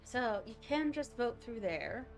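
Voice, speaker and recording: A woman's voice: on the high side, lively intonation, a little slow, faint music behind. A woman livestreaming a game, chatting casually and thinking aloud as she reacts to what is on screen. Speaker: game streamer.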